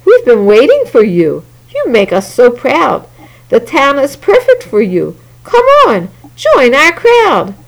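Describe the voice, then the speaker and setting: Woman playing a jolly male mayor, high and bouncy, loud sing-song, excitable. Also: slow, full character voice, low-quality recording. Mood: welcoming.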